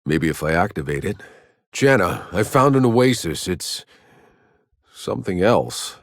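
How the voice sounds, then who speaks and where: A man playing a gruff, sardonic, battle-worn soldier in a sci-fi video game, with a gritty, husky voice and a terse, dry delivery. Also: low-pitched, big pitch swings, a little slow, no room sound, full character voice. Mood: focused, dry, then subdued, reflective.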